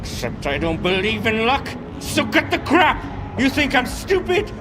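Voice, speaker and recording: Gang boss, piercingly high pitch, sneering, suspicious, aggressive, game dialogue, music behind. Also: male voice, wildly swooping pitch, measured pace, heavily acted. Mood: threatening. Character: volatile, foul-mouthed gang boss, suspicious and threatening.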